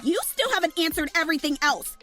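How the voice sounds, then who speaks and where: Audio drama role: actress as smug young accuser, piercingly high, swooping, taunting delivery. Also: female voice, lively intonation, fast talker, soft background music, exaggerated voice. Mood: combative. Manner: taunting, forceful, emphatic. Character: smug, relentless young female accuser in a trial. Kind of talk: melodramatic anime-style audio drama.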